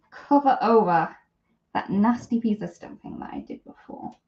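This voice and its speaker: Female craft demonstrator, unhurried and chatty, self-deprecating, talks herself through each step; slightly muffled lo-fi sound. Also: on the high side. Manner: chatty, thinking aloud while working. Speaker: host of a live papercraft demonstration. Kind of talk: casual live craft tutorial chat.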